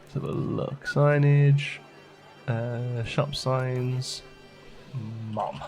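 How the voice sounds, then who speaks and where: A man's voice: medium pitch, fairly even intonation, extremely slow, over music. A man giving casual let's play commentary, chatty and thinking aloud as he plays. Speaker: gaming channel host.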